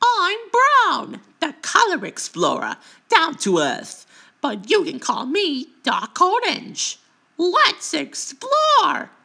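A woman's voice: extremely high pitch, wildly swooping pitch, takes it slowly, gravelly, bright, forceful tone, heavily acted. A woman voicing a playful, childlike cartoon color creature who explores eagerly, speaking in short exclamatory catchphrases. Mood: playful, excited. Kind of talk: cartoon character voice lines.

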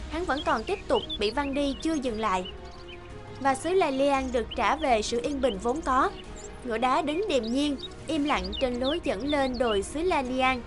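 Woman, fairy tale narrator, very high pitch, scripted delivery, music clearly behind.

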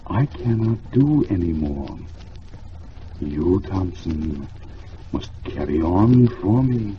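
A man voicing the sad, solemn ghost of a dead painter in an old radio drama, calling in slow, hushed tones. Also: low voice, sing-song, heavily acted. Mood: mournful, commanding. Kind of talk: 1950s radio drama acting. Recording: dull, noisy old radio recording.

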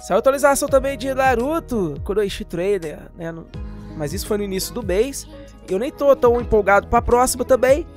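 A man's voice: extremely high pitch, lively intonation, brisk. Brazilian male gaming host, chatty and informal, jokey slang, voice-over with music underneath. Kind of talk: casual, slangy game update voice-over.

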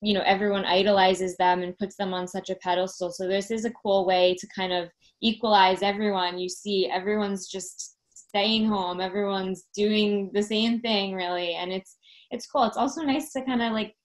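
A woman's voice: mid-range voice, steady tone, moderate pace. A woman in her thirties, a talent producer speaking as a guest in a casual video-call interview, friendly and upbeat with many fillers. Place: home room. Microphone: laptop webcam mic on video call.

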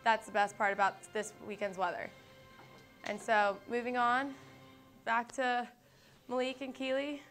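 A young woman, a student meteorologist, giving a weather forecast and chatting in a campus TV studio, eager and a little halting. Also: on the high side, flat delivery, a bit bright, faint music behind. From United States. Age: young adult.

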